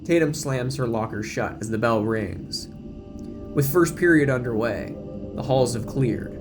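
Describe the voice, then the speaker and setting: A man giving dramatic scripted narration as the narrator of an audio drama, with music behind him. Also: mid-range voice.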